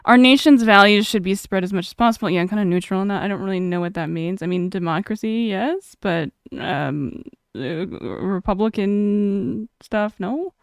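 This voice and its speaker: A woman's voice: mid-range voice, normal speed, few pauses, clean tone, no echo, clean studio sound. A young woman streamer chatting casually, reading chat aloud and laughing often.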